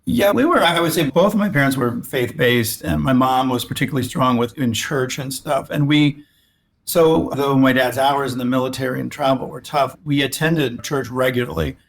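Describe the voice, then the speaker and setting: A man's voice: medium pitch, normal speed, vocal fry. A man in his sixties, a spine surgeon raised in Alaska, talking through his past in a rambling way with many restarts. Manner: rambling with frequent restarts and repeated words. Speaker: guest, orthopedic spine surgeon. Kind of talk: reflective interview conversation.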